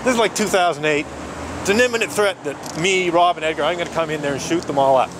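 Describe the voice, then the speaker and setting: A man protesting outdoors, talking in an animated, indignant way and laughing often. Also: fairly high pitch, fast talker. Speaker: street protester. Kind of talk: casual outdoor conversation. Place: outdoors on a city street. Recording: outdoor traffic noise.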